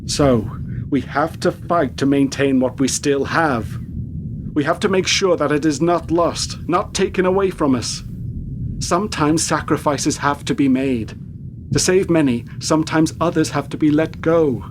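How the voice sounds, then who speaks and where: A man playing a probing, world-weary government interrogator in a post-war radio play, questioning in a measured, formal, clipped way. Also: on the high side, close to an everyday voice. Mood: grave, persuasive. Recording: very noisy radio drama recording.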